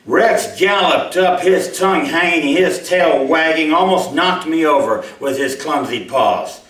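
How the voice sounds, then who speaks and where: A man, a writer speaking to an audience at a live reading in an expressive way. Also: a little high, measured pace. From Mobile, Alabama, USA. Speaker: writer reading his own short story. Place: indoor room at a live reading event. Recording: roomy, echoey hall, distant microphone.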